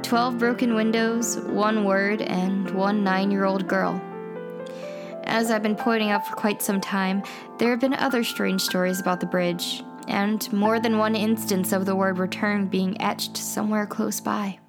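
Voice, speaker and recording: An actress playing a playful, mischievous watchtower traffic reporter who chats and teases on air in an audio drama. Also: female voice, mid-range voice, fairly even intonation, a little slow, over music, close to an everyday voice. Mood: wry, storytelling. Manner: teasing, flippant, theatrically wry. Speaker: traffic reporter on a night radio broadcast. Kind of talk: audio drama acting, chatty radio broadcast.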